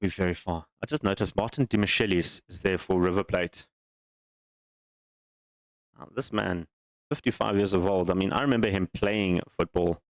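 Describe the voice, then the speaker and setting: A man's voice: low voice, moderate pace, pauses often, no room sound, low-quality recording. A man hosting a gaming channel, casually commenting on his game save as he thinks aloud, rambles and questions himself.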